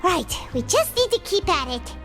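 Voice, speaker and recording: A woman voicing a small, childlike fairy companion in a video game, excitable, easily spooked and squeaky. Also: moderate pace, heavily acted. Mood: tired. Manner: refers to self in third person. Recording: loud music behind the voice.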